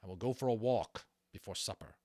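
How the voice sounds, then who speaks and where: A man's voice: lowish voice, brisk, a little mellow, no room sound, clean studio sound, natural voice. A man acting a stern, dutiful and courteous military captain in an audiobook, formal and firm. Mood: controlled courtesy.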